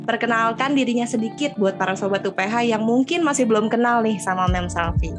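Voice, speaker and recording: Upbeat young woman podcast host, higher voice. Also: a little fast. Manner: upbeat, chatty, addresses listeners directly. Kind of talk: casual podcast interview. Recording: music playing underneath.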